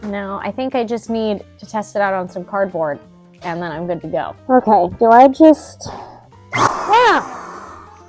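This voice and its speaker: A woman's voice: medium pitch, lively intonation, a little slow, long pauses, a little mellow, faint music behind. Young female DIY vlogger, filler-heavy casual chatter, dry cough, recorded at home. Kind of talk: casual chat and talk-through of a DIY task. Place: home indoors.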